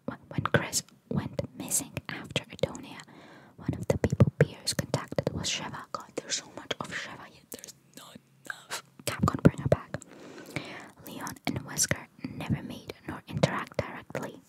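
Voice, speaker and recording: Woman, soft unvoiced whisper, ASMR reading, informal and musing. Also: normal speed, low-quality recording. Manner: informal, musing asides with mild swearing. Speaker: ASMR content creator. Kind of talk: whispered ASMR reading of trivia with casual commentary.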